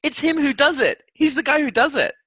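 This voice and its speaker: A man's voice: extremely high pitch, expressive, fairly quick, bright, forceful tone, no echo. A man co-hosting a football podcast in a casual, matey chat, often repeating himself.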